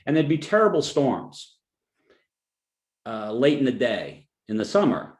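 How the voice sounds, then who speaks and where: A man with a New Jersey accent presents to clients over a webinar, talking in an easygoing way with joking asides and frequent restarts. His voice sits at an ordinary middle pitch. The recording has a roomy echo. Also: big pitch swings, a little slow. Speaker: financial advisor.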